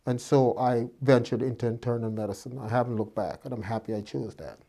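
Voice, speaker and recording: A man's voice: medium pitch, brisk, vocal fry, warm tone. A man in his fifties from Guyana, a doctor telling his life story to students in a reflective way, with many fillers and restarts. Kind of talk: relaxed personal storytelling.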